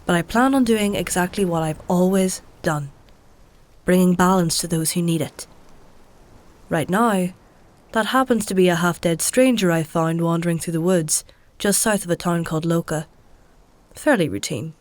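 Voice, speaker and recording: An actress playing a weary, dry-witted healer in a dark fantasy audio drama, with a wry, coaxing, matter-of-fact manner. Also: female voice, fairly low pitch, normal speed, lightly acted. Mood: reflective, dry. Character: weary, dry-witted woman healer tending a dying stranger.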